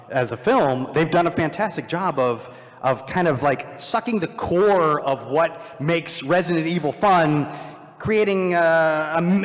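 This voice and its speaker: A man on a live convention panel, talking in a rambling, self-deprecating way with many fillers. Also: a little high. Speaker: panelist, filmmaker. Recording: long hall reverb.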